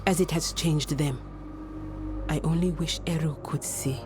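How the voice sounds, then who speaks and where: Low-voiced, solemn circle leader, unhurried. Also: female voice, fairly even intonation. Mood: solemn, consoling. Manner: measured, grave, reassuring. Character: steady, compassionate female spirit-circle leader, solemn and practical. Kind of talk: dramatic video game acting. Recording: loud ominous music behind the voice.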